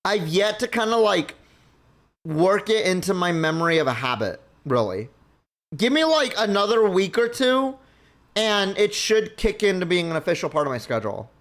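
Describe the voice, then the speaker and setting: Brief: easygoing male streamer, slightly high voice, thinks aloud and circles back on himself. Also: big pitch swings, normal speed, fairly bright tone. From Mexico. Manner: rambling, thinking aloud with many restarts. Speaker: livestream host. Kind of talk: casual chat with viewers.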